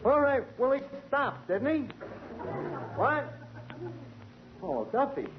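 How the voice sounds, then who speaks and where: An actor playing a flustered, wisecracking New York tavern manager in a 1940s radio comedy, slangy and grumbling. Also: male voice, very high voice, big pitch swings, slow, exaggerated voice. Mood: flustered, placating. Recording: noisy, muffled 1940s radio broadcast.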